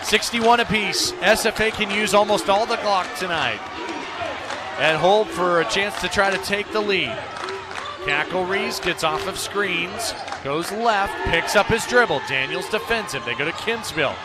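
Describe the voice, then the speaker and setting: American male play-by-play announcer, high-pitched delivery, live radio commentary over crowd and music. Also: a little slow, heavy background noise.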